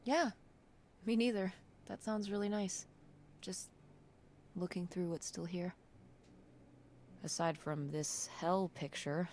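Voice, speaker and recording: An actress playing a wry, curious teenage daughter in a naturalistic video game style. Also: female voice, lowish voice, steady tone, takes it slowly, long pauses, darkish tone, a little hiss or hum. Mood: wry, playful.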